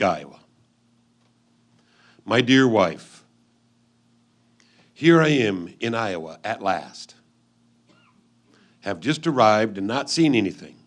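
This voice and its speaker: A man playing a keen, observant 1850s husband newly arrived out West, reading his letter home in a measured, old-fashioned way for reader's theatre. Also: on the low side, heavily acted. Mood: weary, settling in.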